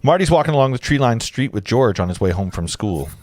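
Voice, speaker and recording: A man in his late thirties hosts a casual table read. His mid-pitched voice moves up and down in a lively way as he shifts between narrating the script and chatting with friends. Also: quick pace, no room sound. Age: late 30s. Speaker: host and stage-direction narrator of a table read. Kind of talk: casual livestream chat and script reading.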